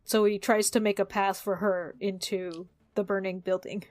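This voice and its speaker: A woman with a mid-pitched voice talks casually as a player in a tabletop game. Her sound is dry, with hardly any room around it. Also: level tone, normal speed, a little mellow, no room sound.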